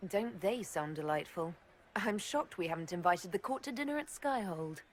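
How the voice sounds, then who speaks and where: An actress playing a wry, self-possessed heroine with a dry wit in a video game. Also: female voice, on the low side, expressive, moderate pace, slight background noise, natural voice. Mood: sardonic. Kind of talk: video game cutscene acting.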